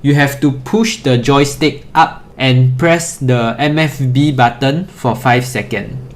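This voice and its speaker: A man's voice: medium pitch, takes it slowly, a little hiss or hum. A man presenting an instructional tutorial in a methodical, step-by-step way.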